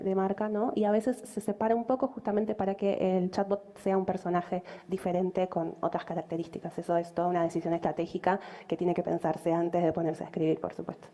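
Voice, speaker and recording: A woman's voice: mid-range voice, flat delivery, brisk, few pauses, mellow. A woman speaking as a conference panelist, direct and matter-of-fact.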